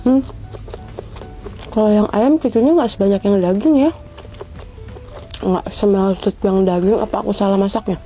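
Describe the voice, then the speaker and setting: Female food vlogger, warm relaxed timbre, chatty review over quiet music. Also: mid-range voice, moderate pace, pauses often. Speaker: food reviewer in short social videos. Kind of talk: casual mukbang review chat.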